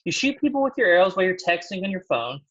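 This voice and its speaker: A man's voice: sits high, big pitch swings, fast, fairly bright tone, full character voice. A man acting a stern, blunt thirty-year-old efficiency expert in a comedy table read, scolding in a dry manner. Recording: dull, lo-fi sound.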